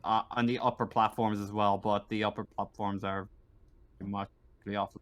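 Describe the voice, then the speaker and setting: A man's voice: fairly low pitch, flat delivery, brisk. A man casually improvising as game master on an online call, hesitant and thinking aloud as he explains things.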